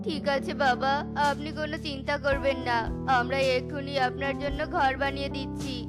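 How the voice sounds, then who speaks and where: A woman's voice: high voice, flat delivery, brisk, loud music behind the voice, close to an everyday voice. A woman voicing an eager, trusting young bird who leads the flock, earnest and pleading.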